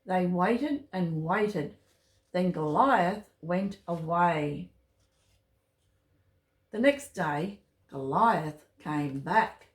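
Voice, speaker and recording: A woman's fairly low voice reads a children's story in a roomy, echoey space. She goes slowly and deliberately, keeping the wording simple and speaking straight to young listeners. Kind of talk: read-aloud Bible storytime for children. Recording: roomy, echoey home recording.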